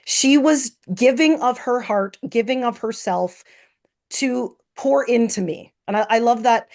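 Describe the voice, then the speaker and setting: A woman's voice: on the high side, a little slow, a little mellow. Enthusiastic, heartfelt woman panelist. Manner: enthusiastic, heartfelt, uses imagery. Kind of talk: panel discussion.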